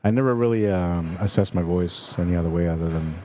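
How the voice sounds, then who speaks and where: A man with a very deep, warm and soothing voice commentates a pool live stream. He stays calm and easygoing, chatting with viewers and reading their comments aloud. A faint hum of the venue sits underneath. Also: quick pace. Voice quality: soothing, relaxing voice. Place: pool tournament venue, indoors.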